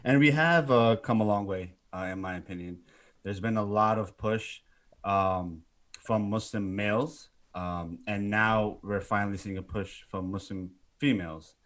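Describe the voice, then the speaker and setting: A man's voice: fairly low pitch, a little slow. A man with a British accent, a filmmaker co-hosting a podcast, speaking earnestly and casually with fillers. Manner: joking, then earnest and quieter. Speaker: filmmaker co-host.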